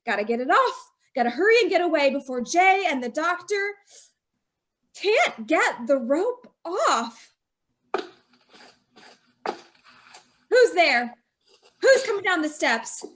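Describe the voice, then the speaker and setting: A woman's voice: extremely high pitch, very animated, slow, pauses often, fairly bright tone, exaggerated voice. A woman playing a terrified young wife with a noose around her neck in an old-time radio drama, pleading and sobbing. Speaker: radio actor.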